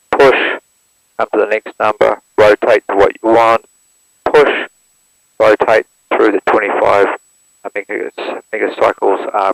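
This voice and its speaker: A man, walking through how to operate an aircraft radio step by step in a plain, steady manner, recorded through an intercom headset. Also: lowish voice, gravelly, no room sound, poor audio. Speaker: instructor demonstrating an aircraft radio. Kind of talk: hands-on equipment walkthrough.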